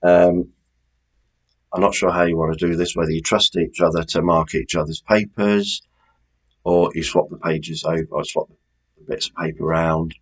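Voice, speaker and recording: A man hosting a quiz, reading out questions and answers in a deliberate, measured way with informal asides. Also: low-pitched, steady tone. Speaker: quiz host.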